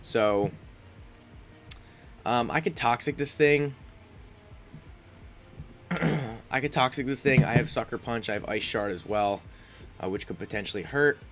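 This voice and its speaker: A man's voice: medium pitch, measured pace, long pauses, fairly bright tone, soft background music. A congested man giving casual commentary on his own game, thinking aloud with frequent ums and restarts. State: congested, sniffling. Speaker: gaming YouTuber.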